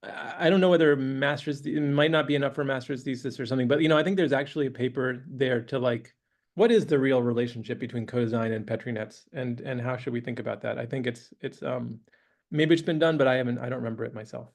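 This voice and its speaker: Lecturer, male, gravelly and a bit hoarse, loose hesitant delivery, classroom talk. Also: medium pitch, fast. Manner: relaxed, hesitant, thinking aloud. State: losing his voice, clearing throat. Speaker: guest lecturer. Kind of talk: academic lecture with questions. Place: university classroom.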